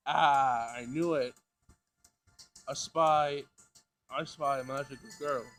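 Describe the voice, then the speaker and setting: A man voicing a leering, excitable young male anime fan, eager and argumentative. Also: medium pitch, takes it slowly. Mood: excited. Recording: music behind the voice.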